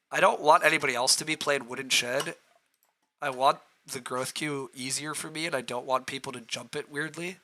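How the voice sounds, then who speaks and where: A man with a hoarse, roughened voice talks through his moves on a live game stream. His tone is bright and edged, and he clears his throat now and then. He reasons aloud and answers viewers' comments. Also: fairly high pitch. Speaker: streamer playing a board game.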